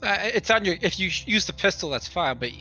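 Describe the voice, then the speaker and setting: Higher-pitched man correcting fellow game players. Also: animated, fairly quick, pushed and bright. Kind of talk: casual game-table chat. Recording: slightly dull audio, music underneath.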